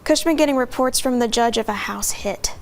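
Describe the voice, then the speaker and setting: A woman's voice: fairly high pitch, a little fast. Woman explaining radar during a live storm. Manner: steady, explanatory updates with fillers. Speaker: TV meteorologist. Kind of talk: live severe weather coverage.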